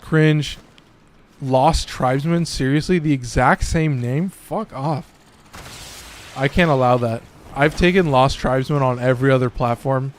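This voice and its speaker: A man with a slightly hoarse, raspy voice, giving loose and profane casual commentary while streaming a game. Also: mid-range voice, measured pace, a little hiss or hum. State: a little hoarse. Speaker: gaming streamer.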